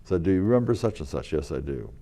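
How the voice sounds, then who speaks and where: Very deep-voiced older man telling stories. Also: big pitch swings, quick pace. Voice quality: loud voice. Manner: rambling, chuckling, with many fillers and restarts. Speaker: guest, local historical reenactor. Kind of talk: casual interview storytelling. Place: community TV studio.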